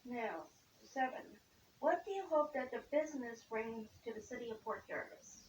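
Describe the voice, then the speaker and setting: A woman's voice: on the high side, lively intonation, normal speed, darkish tone. A woman working as a community liaison, asking interview questions in an informal way. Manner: informal, leans on "basically" and "okay". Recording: roomy echo, distant mic, faint hiss.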